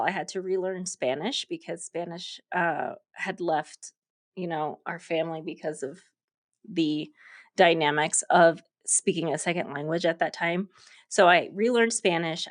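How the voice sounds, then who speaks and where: A woman in her thirties, a podcast host being interviewed, talking casually with lots of fillers and frequent laughter. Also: on the low side. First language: English. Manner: chatty, laughs often, many fillers.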